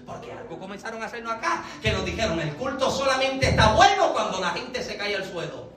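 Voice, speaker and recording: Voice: medium pitch, very animated, brisk, fairly bright tone. Puerto Rican preacher in an echoey hall. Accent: Puerto Rican Spanish. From Puerto Rico. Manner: rhetorical questions, repeatedly checking that listeners follow. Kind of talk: sermon to a congregation. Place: large echoey room, church service. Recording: echoey hall, distant mic, music behind.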